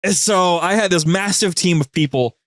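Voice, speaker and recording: A man's voice: high-pitched, normal speed, fairly bright tone, no room sound, clean studio sound. A man speaking as a podcast guest and show producer, telling anecdotes in a casual, rambling, informal way. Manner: rambling, informal, conversational storytelling.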